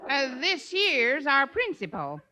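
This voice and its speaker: A folksy hillbilly schoolteacher in an old radio comedy. The voice is high and swoops around in a slow, ungrammatical backwoods drawl. She sounds cheerful and ignorant. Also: wildly swooping pitch, crowd noise, full character voice. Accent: exaggerated American hillbilly. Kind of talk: 1950s radio comedy acting before an audience. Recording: 1950s radio broadcast, music, laughing audience.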